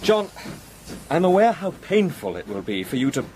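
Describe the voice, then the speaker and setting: An actor playing an anxious, well-bred young Victorian gentleman in an audio drama, formal and a little halting. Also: a little high, sing-song. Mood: worried, discreet. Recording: faint background noise and hiss.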